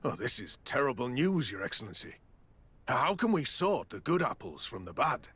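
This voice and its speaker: Male garrison quartermaster, anxious, candid, formal, mid pitch, very varied intonation, video game drama. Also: moderate pace, husky. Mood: troubled, candid. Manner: formal, expressive, troubled. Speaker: game character actor.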